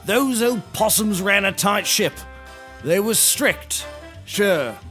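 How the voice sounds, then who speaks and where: A pompous yet genial eccentric taxidermist, theatrical and florid. The voice is high and swoops widely as he holds forth in an audio comedy, with faint music behind. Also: animated, takes it slowly, a bit bright, heavily acted. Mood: grandiose. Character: grandiose, genial eccentric male landlord and amateur taxidermist. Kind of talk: scripted audio comedy acting.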